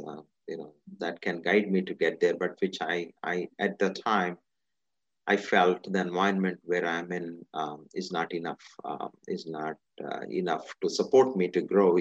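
A man from India with an Indian accent, answering interview questions about himself in halting, fragmented phrases. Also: deep voice, no echo, dull audio. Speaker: interview guest, business executive.

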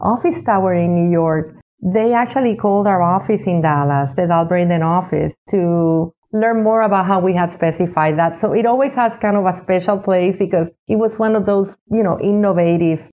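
Older woman from Puerto Rico on a tinny line. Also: fairly low pitch. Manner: unhurried, frequent "you know" asides. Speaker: architect and executive director, guest. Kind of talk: interview answers, reflective storytelling.

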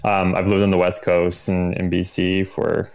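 A man's voice: deep voice, monotone, normal speed, creaky, a little mellow, smooth. A Canadian-accented man in his thirties chatting casually as an interview guest, informal and searching for his words. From Ontario, Canada. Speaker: interview guest, endurance cyclist.

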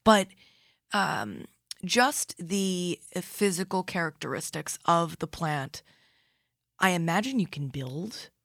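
A woman's voice: on the low side, slow, vocal fry, a bit bright, no room sound. A woman hosting a radio interview in a studio, asking curious, eager follow-up questions in a conversational way. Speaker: radio host.

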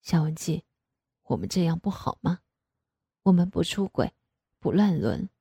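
Indulgent dad voice, low-ish and husky, kinda dark and mellow, a woman putting it on. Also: normal speed, no room sound, studio quality. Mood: coaxing. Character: middle-aged father, indulgent and coaxing.

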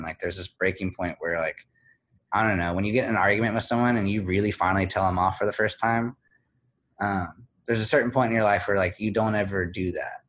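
Deep-voiced man, halting and reflective. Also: fairly bright tone, slight background noise. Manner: halting and reflective, many fillers and restarts. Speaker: guest, blogger. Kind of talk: candid conversational interview.

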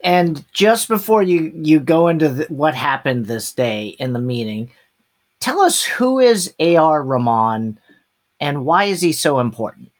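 A woman's voice: deep voice, animated, unhurried. A woman interviewer asking a question and offering brief reactions. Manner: short encouraging reactions.

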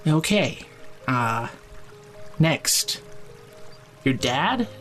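An actor playing a drunk, blunt, foul-mouthed young man who insistently and gruffly looks out for his friend in an audio drama. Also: fairly high pitch, big pitch swings, drawn-out words, fairly bright tone, faint music behind, full character voice. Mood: blunt, firm. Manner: slangy, insistent, gruffly caring. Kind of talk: audio drama acting, naturalistic.

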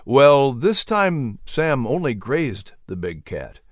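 Audiobook reader doing kind calm minister guy, gentle mentor, storybook style, dry recording. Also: mid-range voice, slow pace, no echo. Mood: gentle, reassuring.

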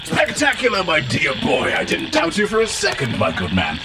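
A man's voice: on the high side, wildly swooping pitch, moderate pace, noisy background, voice pushed up. A man voicing a pompous, bumbling professor who rambles in flowery speech with blunt asides, in a comic fantasy game. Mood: proud, encouraging. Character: pompous, bumbling, long-titled male professor of adventure lore. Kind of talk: comic in-character monologue as a recorded message.

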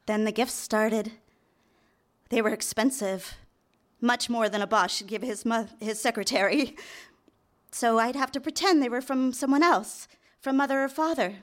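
An actress playing an eager, apologetic young secretary and secret mistress in a live radio drama, confiding and deferential. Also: female voice, fairly high pitch, moderate pace, close to an everyday voice. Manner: deferential, hesitant in dialogue, confiding in narration. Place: live on stage in a theater.

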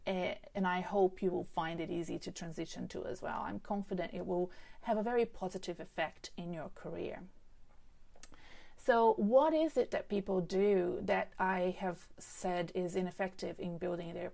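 Accented woman doing a solo career podcast, lowish gravelly voice, lots of ums and restarts. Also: mellow. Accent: non-native English. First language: not English. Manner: chatty, many fillers and restarts. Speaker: career coach podcast host. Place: home setup with a desk microphone.

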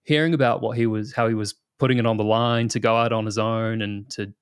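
A man's voice: fairly low pitch, brisk, clean tone, dry recording. New Zealand man, dry close sound. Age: 30s. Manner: relaxed and rambling, with many fillers and restarts. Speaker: guest, product manager. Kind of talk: casual interview talk.

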